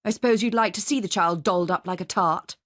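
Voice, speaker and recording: A woman's voice: mid-range voice, fast talker, lightly acted. Woman acting a cold, snappy society mother. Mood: scornful. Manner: scornful, sharp rebukes.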